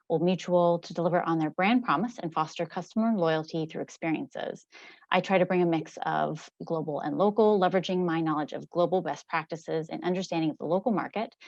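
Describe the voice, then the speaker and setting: A woman's voice: on the low side, dry recording. American woman, dull dry sound. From United States. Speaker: customer experience advisor and co-host. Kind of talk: self-introduction on a webinar.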